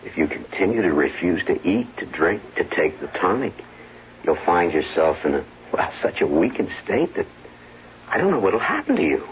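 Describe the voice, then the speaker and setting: Deep-voiced, anxious, placating husband. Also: male voice, big pitch swings, normal speed. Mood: placating concern. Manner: earnest, hesitant, placating. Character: anxious Broadway-star husband, evasive, placating, weak-willed. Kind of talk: 1970s radio drama acting. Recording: dull, noisy, humming vintage radio recording.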